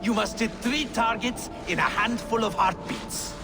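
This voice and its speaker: A man playing an eager Norse archery contest host in a video game, over loud ambience. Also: sits high, a little slow, music in the background. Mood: inviting.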